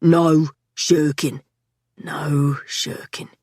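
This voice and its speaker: A smug young man who drawls and mocks, as a woman narrator plays him. He sits slightly lower than her own voice and takes his time, recorded close and dry. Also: a little slow, no room sound. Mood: mocking. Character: bossy, mocking young man, an older brother.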